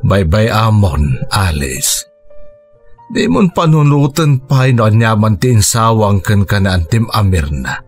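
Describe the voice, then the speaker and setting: A man playing a gentle, forgiving middle-aged father with a husky voice, acted for Ilocano radio drama with a Filipino accent. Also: fairly low pitch, big pitch swings, measured pace, faint music behind. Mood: resigned, soothing.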